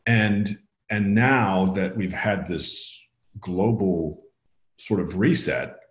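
A man with a very low voice talks through his ideas in a reflective, earnest way. He is a guest author on a conversational podcast. His voice rings with the echo of the room around him. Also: slow. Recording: echoey room.

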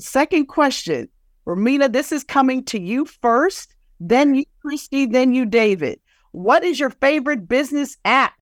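A woman's voice: fairly high pitch, no echo. Woman hosting a podcast panel, close dry sound. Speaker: podcast host. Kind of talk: panel moderation, conversational.

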